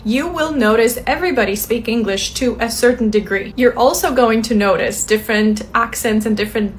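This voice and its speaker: A woman's voice: mid-range voice, a little hiss or hum. A woman talking chattily to camera in a casual travel vlog, explaining things.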